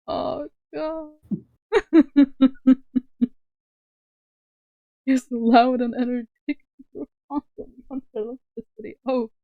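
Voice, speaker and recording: A woman streamer chatting on a call, soft-spoken and laughing often. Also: sits high, steady tone, slow pace, long pauses, dry recording, narrow, tinny audio. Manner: giggly, laughs often, speaks softly. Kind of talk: casual livestream chat on a call.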